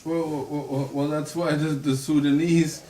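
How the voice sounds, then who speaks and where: A man in a casual discussion who speaks haltingly, starting words over and over before he gets going. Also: a little high, flat delivery, mellow. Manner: halting, stacks of repeated words. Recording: echoey room from far off.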